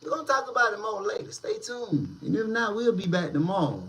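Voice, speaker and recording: A man hosting a casual street-news commentary channel, talking in slang with drawn-out, emphatic delivery. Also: high-pitched, sing-song, creaky, fairly bright tone, rough recording.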